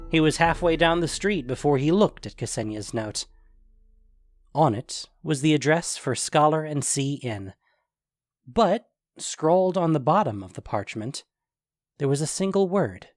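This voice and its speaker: A man narrating a scripted fantasy adventure story. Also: medium pitch.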